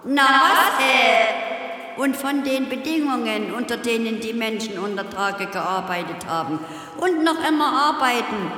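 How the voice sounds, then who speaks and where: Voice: mid-range voice, lively intonation, unhurried, sharp tone. A performer playing a grumbling, moralizing mountain spirit who lectures indignantly about human greed. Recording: very echoey cavernous hall reverb.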